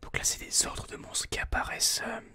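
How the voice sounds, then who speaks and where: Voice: a little fast, bright, forceful tone. A person whispering informal, hesitant gameplay commentary in an ASMR style, thinking aloud close to a binaural microphone. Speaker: video game streamer, ASMR host.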